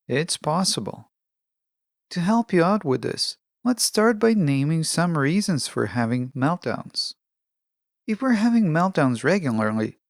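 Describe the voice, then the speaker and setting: A podcast host delivering a scripted self-help monologue in a measured, instructional way with deliberate phrasing. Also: medium pitch, gravelly, no echo, clean studio sound.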